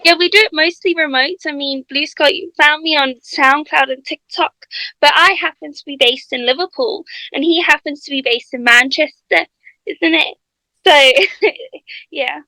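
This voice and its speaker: High, gravelly woman. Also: moderate pace, sharp tone, no room sound, poor audio. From South Africa. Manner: chatty, laughs often, trailing restarts. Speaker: singer-songwriter guest. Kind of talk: casual radio interview chat.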